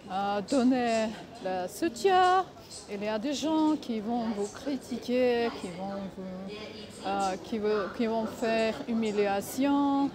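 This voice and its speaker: A woman speaking French that is not her native language, giving casual advice in a vlog, halting often to search for words. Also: a little high. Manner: halting, many filler pauses, searching for words. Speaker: vlogger.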